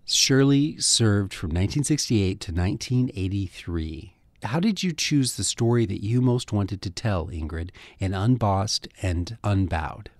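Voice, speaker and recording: A man hosting a radio program, reading a scripted introduction and asking questions in a measured, deliberate way. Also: on the low side, animated, a little mellow, no room sound, studio quality. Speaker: radio host. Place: radio studio.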